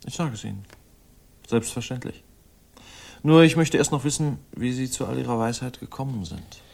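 An actor in a 1960s crime radio play, playing a cynical, guilty husband of an heiress with a measured, formal, self-mocking manner. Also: male voice, mid-range voice, gravelly, a little mellow.

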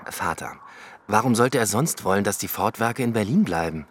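A man's voice: fairly low pitch, lively intonation, moderate pace, husky. An actor playing a blunt, stubborn male police detective who speaks tersely and presses people hard in a radio play. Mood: impatient.

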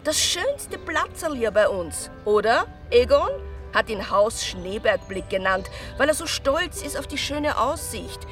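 High-voiced teasing Austrian waitress. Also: female voice, very animated, unhurried, fairly bright tone, soft background music. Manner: teasing, playful. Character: teasing, lively village pub waitress. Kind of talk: naturalistic radio drama acting.

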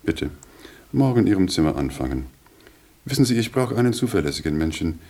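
An actor playing a composed, wealthy businessman back from America in a German radio play, polite and deliberate. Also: low-pitched, very animated, mellow, full character voice. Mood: businesslike.

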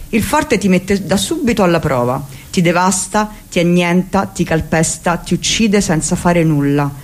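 Impassioned woman reading aloud. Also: fairly low pitch. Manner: impassioned, declamatory. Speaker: reader. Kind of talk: theatrical reading of a book on radio. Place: radio studio.

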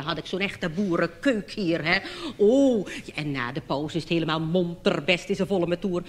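Rustic North Holland housewife acted by a woman, low-toned, colloquial cabaret gossip, noisy live recording. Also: natural voice. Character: chatty, plain-spoken village wife from North Holland. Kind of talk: stage cabaret sketch.

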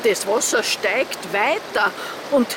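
An actress playing an earnest Austrian countrywoman in a radio play, pleading deferentially and urgently for help. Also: fairly high pitch. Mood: anxious, insistent. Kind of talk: radio play monologue, phone call. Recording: loud rushing water noise. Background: rushing flood water.

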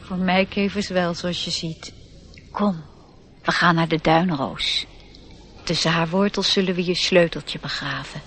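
A woman voicing a calm, knowing, gently commanding fairy spirit in a radio drama. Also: fairly low pitch, measured pace, slightly rough.

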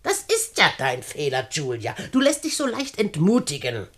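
An actress playing a despotic, sharp-tongued older aunt in a radio play, scolding and mocking as she talks. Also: low-pitched, huge pitch swings, moderate pace, exaggerated voice. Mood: mocking, self-satisfied. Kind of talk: German radio play acting.

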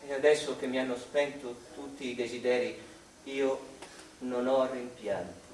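An actor playing a melancholy male mathematician confiding in his mother, in a wistful, halting, theatrical monologue. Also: mid-range voice, steady tone, a little mellow, close to an everyday voice. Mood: yearning. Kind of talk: dramatic stage monologue. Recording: echoey room, distant mic, music behind.

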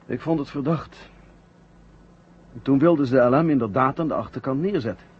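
An actor playing an anxious, bewildered stranded astronaut, halting and earnest, in a 1970 radio drama. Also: male voice, medium pitch, husky, close to an everyday voice. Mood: troubled, bewildered. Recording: dull, noisy 1970 radio drama recording.

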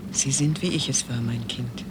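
A woman's voice: extremely low pitch, moderate pace, noisy background. An actress playing a gracious, mysterious, nostalgic older lady in a German radio drama, speaking formally. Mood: tender.

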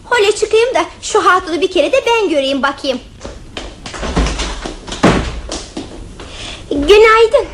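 A cheeky little sibling. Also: female voice, extremely high pitch, animated, normal speed, heavy background noise. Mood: teasing. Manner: teasing, playful. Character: cheeky younger sibling, mischievous. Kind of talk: radio drama acting.

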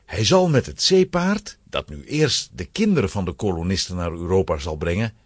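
A man's voice: sits low, big pitch swings, normal speed, breathy edge, no echo, studio quality. A man narrating a children's audio play in a scripted storytelling style.

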